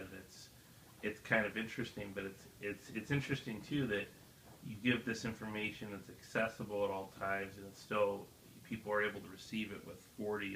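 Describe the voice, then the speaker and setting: A man's voice: fairly low pitch, vocal fry, fairly bright tone. A man chatting casually as a guest, explaining some history in an informal way. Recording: roomy echo with background noise.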